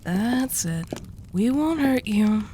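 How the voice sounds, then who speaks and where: A woman's voice: on the high side, lively intonation, takes it slowly, warm tone, slight background noise. A woman playing a dominant, seductive mafia boss in a roleplay audio drama, teasing and possessive with a coaxing menace.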